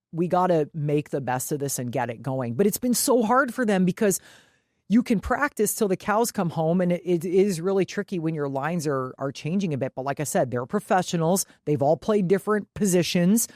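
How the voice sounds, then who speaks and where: A woman's voice: on the low side, animated, normal speed, a little mellow, dry recording, clean studio sound. A woman co-hosting a sports podcast, talking casually and emphatically with loose swearing. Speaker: podcast co-host.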